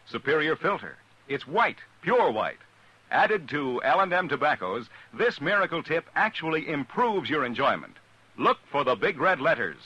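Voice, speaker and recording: A man's voice: medium pitch, bright, forceful tone, slightly rough, rough recording. A man reading a 1950s radio commercial with a punchy sales delivery. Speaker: commercial announcer.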